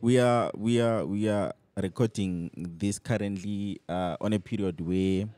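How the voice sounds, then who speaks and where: A man hosts a podcast chat in an easygoing, affirming way. His voice is very low and mellow. The sound is dry and close. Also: fairly even intonation, a little slow, no room sound. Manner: easygoing, affirming with frequent agreement. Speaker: podcast host. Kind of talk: casual conversational interview. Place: lounge venue.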